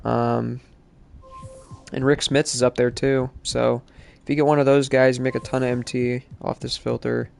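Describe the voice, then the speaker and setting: A man's voice: mid-range voice, flat delivery, moderate pace, gravelly, soft background music. A male streamer chatting casually while playing, sounding congested from allergies and rambling with lots of fillers. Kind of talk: live-stream gaming chat.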